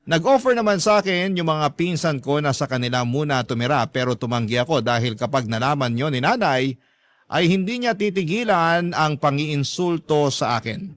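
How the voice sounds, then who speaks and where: A man's voice: on the high side, animated, moderate pace, no echo, studio quality, lightly acted. An actor playing a hurt grown son who tells his life story in earnest, scripted first-person drama narration. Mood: hurt, reflective.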